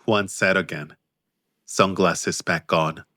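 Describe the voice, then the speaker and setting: A man narrating his own audiobook in a measured, understated way. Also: low-pitched, fairly even intonation, pushed and bright, dry recording, studio quality. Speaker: author and narrator.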